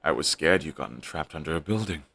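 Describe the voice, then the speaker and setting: A man's voice: extremely low pitch, animated, fast, creaky, bright, forceful tone, slightly rough, heavily acted. A young man playing a bitter, fiercely devoted male villain in an audio roleplay, talking in an intense, raw way and swearing often. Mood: bitter, reflective.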